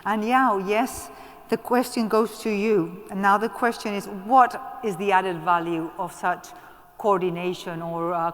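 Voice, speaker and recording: A woman moderates a conference panel in a mid-pitched voice. She keeps coaxing the room and encouraging people in the audience to speak. Her voice rings with the long reverb of a large hall.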